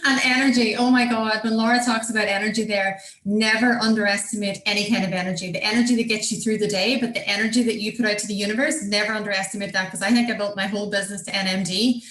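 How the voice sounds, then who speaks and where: A woman's voice: mid-range voice, steady tone, fast, hardly any pauses, fairly bright tone, echoey room, rough recording. An Irish woman from Donegal giving an enthusiastic, upbeat motivational training talk on a group call. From Ireland (Donegal). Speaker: marketing director giving a team training.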